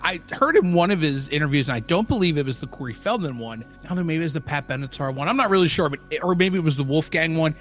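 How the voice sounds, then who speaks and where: A middle-aged man chats as a podcast co-host in a fairly high voice. He is agreeable and informal, repeating small phrases as he goes. Quiet music sits in the background. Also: lively intonation, quick pace, few pauses. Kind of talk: casual podcast conversation.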